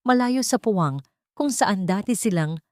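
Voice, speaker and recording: A woman's voice: mid-range voice, animated, moderate pace, darkish tone, no echo, clean studio sound. A woman with a Filipino accent narrating a dramatic scripted story as a storyteller.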